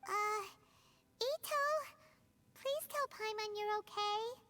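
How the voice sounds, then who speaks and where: A woman voicing a tiny, excitable childlike fairy companion in a video game, with a squeaky voice and exclamatory delivery. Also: takes it slowly, heavy background noise. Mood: worried. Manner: speaks of self in third person, exclaims. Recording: music under the voice.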